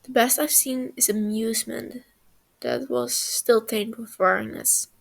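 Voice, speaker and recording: A woman's voice: on the high side, unhurried, creaky. A woman voicing a cheerful, clingy young man who teases his brother in a storybook reading.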